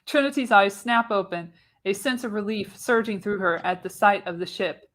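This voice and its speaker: A woman's voice: medium pitch, normal speed, fairly bright tone. A woman narrating screenplay stage directions for a live radio play, reading expressively with the occasional stumble. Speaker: narrator, show host.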